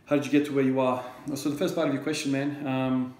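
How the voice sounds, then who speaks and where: Thirties male, casual at-home Q&A, rambling with fillers, flat delivery, roomy echo. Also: mid-range voice, fast talker. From Croatia. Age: 30s. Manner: rambling, repeats words when starting sentences, many fillers. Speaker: fitness vlogger answering viewer questions. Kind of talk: casual Q&A talk to camera. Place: small room at home with shelves. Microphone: camera or laptop at desk distance.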